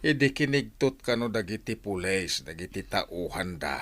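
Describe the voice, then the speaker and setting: A man's voice: deep voice, very animated, normal speed, a bit bright. A man acting in an Ilocano radio drama as a concerned village husband and father, passing on news conversationally. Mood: concerned, gossipy.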